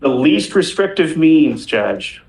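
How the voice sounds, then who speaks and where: A man's voice: fairly high pitch, sing-song, takes it slowly, gravelly, a little mellow, rough recording. A man speaking as a defense attorney in court, addressing the judge in a deferential but persistent way.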